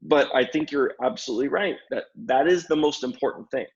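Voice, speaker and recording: Gravelly-voiced man in casual discussion, dull audio. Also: mid-range voice, brisk. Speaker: podcast guest.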